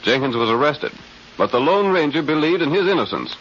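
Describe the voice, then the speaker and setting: A man's voice: fairly high pitch, very animated, brisk, fairly bright tone. A man narrating a western radio serial as a dramatic announcer, declaiming formally in a vintage scripted style. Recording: muffled vintage radio recording, faint hiss.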